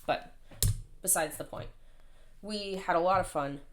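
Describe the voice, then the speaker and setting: A woman in her thirties with a hoarse voice, chatting casually and rambling while she plans. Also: lowish voice, unhurried, noisy background. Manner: chatty, rambling, self-deprecating. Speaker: planner vlogger. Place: at home, indoors.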